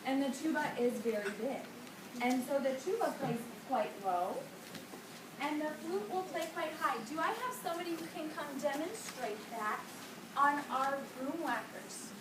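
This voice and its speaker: A high-pitched woman teaching a music class, quizzing the children and drawing out their answers. She is picked up from across a reverberant classroom. A loud hiss of noise runs under her voice. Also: lively intonation, normal speed, recorded from a distance. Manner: questioning, coaxing children to answer. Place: school classroom.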